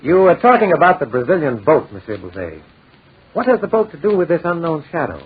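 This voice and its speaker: An actor playing a measured, open-minded gentleman doctor in a 1940s radio drama. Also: a little high, very animated, husky, exaggerated voice. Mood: curious. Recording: muffled, tinny 1940s radio recording.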